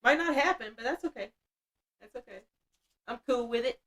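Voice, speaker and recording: A woman's voice: on the high side, lively intonation, normal speed, fairly bright tone. Woman, fifties, chatty, blunt, laughs a lot, casual livestream host. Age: 50s. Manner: chatty, laughs often, blunt and self-mocking. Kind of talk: casual livestream chat reading the chat.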